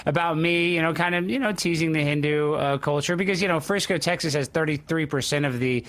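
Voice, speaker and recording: A man's voice: a little high, a little fast, fairly bright tone. A man hosting a talk show with brash, joking, opinionated banter in a casual style.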